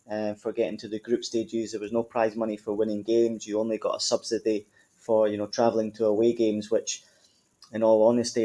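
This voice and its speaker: Scottish club manager, thirties, mellow tone, chatty interview answers. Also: male voice, fairly low pitch. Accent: Scottish, Edinburgh. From Scotland. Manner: conversational, frequent ums and you-knows. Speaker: football club general manager. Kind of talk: podcast interview.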